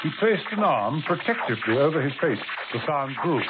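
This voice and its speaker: A man's voice: fairly high pitch, big pitch swings, brisk. A man narrating a 1970s spy radio drama, building suspense through the action. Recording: tinny old radio broadcast with hiss.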